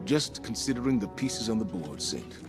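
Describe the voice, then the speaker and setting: A thoughtful, low-voiced commander, measured delivery, game score under. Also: male voice, big pitch swings. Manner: measured and reflective. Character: grave, thoughtful male commander. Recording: game cutscene with music.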